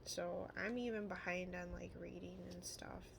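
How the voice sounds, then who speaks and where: A woman's voice: on the low side, fairly even intonation, normal speed, vocal fry. A woman from Illinois with a Midwestern American accent, talking in a casual, rambling vlog style with frequent trailing asides, recorded with some noise. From Illinois, United States.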